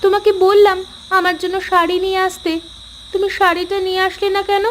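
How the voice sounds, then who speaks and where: A woman acting a high-pitched nagging cartoon housewife. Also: level tone, brisk, a little hiss or hum. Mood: sulky, demanding. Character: nagging, sulky young village housewife and daughter-in-law. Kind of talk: cartoon voice acting in Bengali family story.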